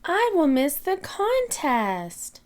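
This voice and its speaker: A woman voicing an excitable, eager little girl pig in a children's storybook, with wide-eyed exclamations. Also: sits high, very slow, voice pushed up, exaggerated voice. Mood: sad. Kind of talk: children's storybook read-aloud.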